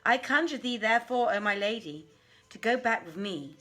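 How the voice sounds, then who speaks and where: A woman's voice: fairly high pitch, animated, moderate pace, pushed and bright. A woman voicing a courtly, lovestruck male jinn in a storybook, with formal, reverent pleading. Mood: adoring entreaty.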